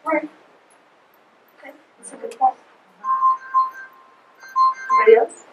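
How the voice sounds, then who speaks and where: A woman's voice: a little slow, distant mic, over music. Woman presenter in echoey room. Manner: conversational, leads questions. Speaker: student presenter. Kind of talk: forum discussion.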